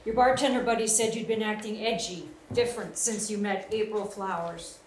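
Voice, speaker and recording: A woman's voice: mid-range voice, fairly even intonation, moderate pace, close to an everyday voice. A woman playing a hard-edged, confrontational detective in a live screenplay table read. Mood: terse, pointed. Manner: blunt, confrontational. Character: hard-edged, driven detective haunted by a missing daughter. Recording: echoey room, faint noise.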